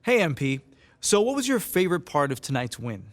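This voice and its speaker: A man's voice: fairly high pitch, big pitch swings, brisk. A man playing a friendly sports reporter asking questions in a video game. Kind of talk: press interview questions in video game cutscene.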